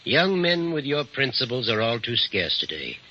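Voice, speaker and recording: An actor playing a kindly older uncle and patron in a 1940s radio drama, with a husky voice and formal, measured speech. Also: male voice, medium pitch, very animated, pushed and bright, a little hiss or hum. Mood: benevolent, measured. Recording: dull 1940s radio recording.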